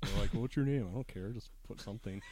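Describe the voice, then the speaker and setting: A man's voice: on the low side, fast, warm tone. A man hosting a casual chat with a DJ guest, laid-back and rambling, laughing often. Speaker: podcast host and DJ.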